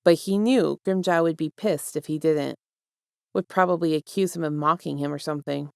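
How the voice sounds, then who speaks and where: Low, creaky, clear-voiced woman reader. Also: normal speed, a little mellow, dry recording, studio quality. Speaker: fan fiction reader. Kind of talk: scripted story narration, casual outro.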